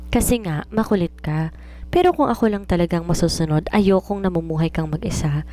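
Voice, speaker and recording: A woman's voice: fairly low pitch, expressive, brisk, warm tone, close to an everyday voice. A wealthy widowed mother from a romance audiobook, acted by a woman narrator. She is wry and possessive, makes her reproaches pointedly and mixes English into her speech. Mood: reproachful.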